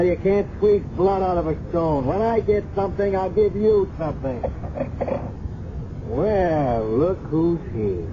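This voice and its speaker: A man playing a gruff, world-weary police captain with blunt, slangy talk in a 1970s radio drama. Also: on the high side, close to an everyday voice. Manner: blunt, slangy, hard-boiled. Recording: muffled, noisy 1970s radio recording.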